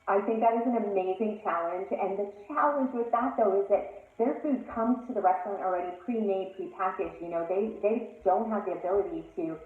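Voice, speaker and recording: A woman's voice: medium pitch, brisk, hardly any pauses. A woman from Canada, a guest in an interview, explaining her views in an earnest, emphatic way. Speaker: interview guest, plant-based food advocate. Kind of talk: casual interview chat. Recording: tinny phone-like line, echoey room.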